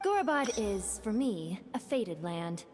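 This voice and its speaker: A woman voicing a solemn, courtly spirit in an anime game, speaking in formal, halting, mournful phrases. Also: medium pitch, sing-song, a little mellow. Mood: solemn farewell. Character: solemn, courtly female spirit bound to destiny. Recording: long reverb, faint noise.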